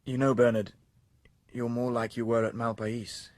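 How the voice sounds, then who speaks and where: A man's voice: mid-range voice, flat delivery, normal speed, a little mellow, no room sound, close to an everyday voice. An actor playing an earnest, idealistic young male outsider who speaks plainly and directly, in a radio drama.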